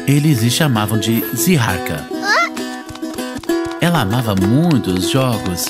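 A woman's voice: extremely low pitch, animated, a little slow. A woman narrating a scripted children's story. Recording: music behind the voice.

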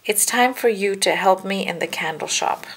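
A woman's voice: medium pitch, normal speed, slightly rough, lightly acted. A woman reading the part of a practical, earnest colonial father in a storybook.

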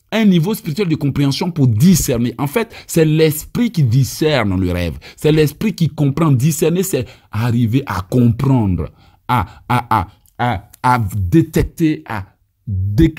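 A man's voice: medium pitch, mellow, slightly rough. A man in his thirties from Côte d'Ivoire, with an Ivorian French accent and Abé as his first language, preaching emphatically with frequent stammered restarts, filmed outdoors on a phone held at arm's length. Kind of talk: deliverance prayer teaching.

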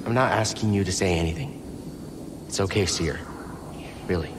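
An actor playing a young Jedi hero who talks in an earnest, guarded, low-key way. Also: male voice, normal speed, background noise, lightly acted. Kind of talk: video game cutscene acting.

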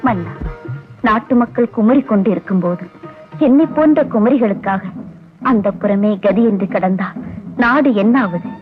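A woman plays a young maiden in a stage play who pleads with the king in a theatrical tone. Her voice sits mid-range and comes through a thin, tinny soundtrack. Soft music plays behind her. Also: medium pitch, moderate pace, pauses often, lightly acted. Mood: pleading.

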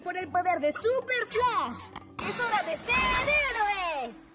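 Determined child superhero: emphatic, very high, Latin American Spanish animation dub, loud music behind. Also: female voice, very animated, slow, over a noisy crowd, exaggerated voice. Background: loud background music.

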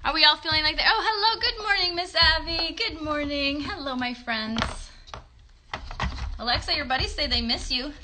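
Female kids' show host, thirties, high-pitched and bright, casual and encouraging, phone livestream from home. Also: normal speed, a little hiss or hum. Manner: encouraging, questioning, talking to young viewers. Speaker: children's puppet show host. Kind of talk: casual craft demonstration for children. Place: bedroom at home. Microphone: phone at about arm's length.

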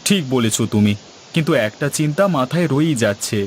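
A man's voice: a little high, animated, a little fast, slight background noise, natural voice. A man playing a kindly, devout village market trader in a cartoon, talking in an earnest, folksy, advisory way. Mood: worried. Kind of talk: cartoon voice acting. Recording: music behind the voice.

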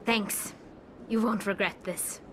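A woman voicing a young alien exile in a video game, earnest and determined, speaking deliberately. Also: medium pitch, steady tone, noisy background, natural voice. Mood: pleading, determined.

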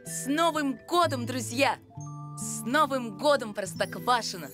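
An actress playing an earnest, polite, adventurous young boy in an animated series. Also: on the high side, measured pace. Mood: heartfelt. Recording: music behind the voice.

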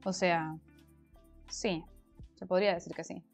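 A woman's voice: fairly low pitch, fairly even intonation, a little slow, faint music behind. A woman from Argentina with a Rioplatense accent, a former language teacher who chats casually with her chat on a crafting stream. She sounds a little congested from allergies. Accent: Rioplatense Argentine Spanish. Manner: chatty, explains at length, answers chat. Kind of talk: casual livestream chat reading comments while crafting.

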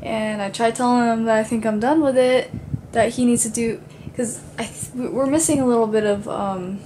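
Young woman, fairly high voice, rambling casual vlog chat, camera held close in a bedroom. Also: fairly even intonation, moderate pace, warm tone, slight background noise. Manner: chatty, rambling with many fillers. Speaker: vlogger. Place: dim bedroom at home. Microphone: handheld camera at arm's length.

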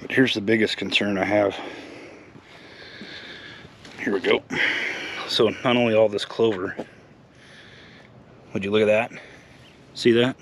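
A man's voice: fairly low pitch, a bit bright. A middle-aged American man talking casually in a plain-spoken, matter-of-fact way, like a vlog host explaining a job. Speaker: host of a lawn care vlog. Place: outdoors in a residential yard, later an open garage. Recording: clear outdoor ambient noise.

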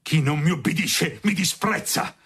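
A man's voice: on the high side, measured pace, noisy background, lightly acted. An actor playing a stern, possessive middle-aged lawyer father in an Italian radio drama, curt and authoritarian. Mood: furious.

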